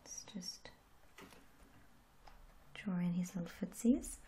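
A young woman in a soft-spoken ASMR roleplay, gentle and reassuring, with a breathy, often whispery voice. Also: lowish voice. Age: young adult. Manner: gentle, unhurried, polite reassurance. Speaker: ASMR roleplayer as a tattoo artist. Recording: audible background noise and hiss.

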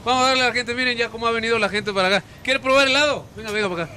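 A man's voice: very high-pitched, racing, sharp tone. A man in his thirties hosting on location with an Ecuadorian Spanish accent, playful and coaxing, often repeating words, holding a handheld mic. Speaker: TV host. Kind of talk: lively on-location presenting. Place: hotel kitchen and street outdoors. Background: street ambience, traffic.

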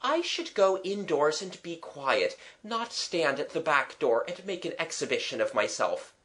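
A reader voicing a cool, courteous and bossy schoolboy who gives measured advice in a storybook. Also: medium pitch, very animated, a bit bright, voice pushed up. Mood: coolly polite. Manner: polite, measured, advising.

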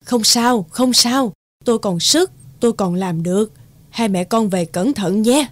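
A woman's voice: fairly high pitch, unhurried, no echo. Woman acting a doting older peasant mother. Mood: reassuring. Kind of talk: animated fairy tale voice acting.

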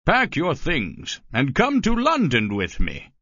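A man voicing a gentle, polite old gentleman in a storybook reading, slow and courteous. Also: a little high, huge pitch swings, breathy edge, no room sound, dull audio, voice pushed up, heavily acted. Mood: pleased.

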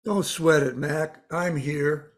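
An actor playing a world-weary spirit, blunt and cryptic, pronouncing grim truths matter-of-factly in a dramatised story. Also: male voice, on the high side, fairly even intonation, slow pace. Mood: brusque.

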